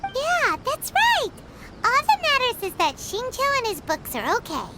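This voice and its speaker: A tiny fairy-like companion girl, with a very high, squeaky voice that swoops wildly up and down. She is blunt and nosy. The game audio behind her is heavily noisy. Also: huge pitch swings, measured pace, exaggerated voice. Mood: chirpy.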